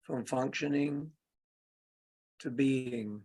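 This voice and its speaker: A man leading a guided meditation as a teacher, speaking in short, unhurried phrases with long pauses, his voice gravelly and husky. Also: medium pitch, a little mellow. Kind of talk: guided meditation, spoken slowly and softly.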